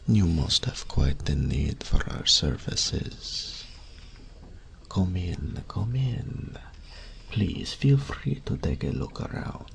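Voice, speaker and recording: Very deep, creaky old tailor. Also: male voice, a bit bright, slight background noise, full character voice. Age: older. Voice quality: gravelly, breathy old voice. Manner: formal, deferential, unhurried, savouring words. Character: elderly courteous male master tailor, wistful and proud shopkeeper. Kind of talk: fantasy audio roleplay acting.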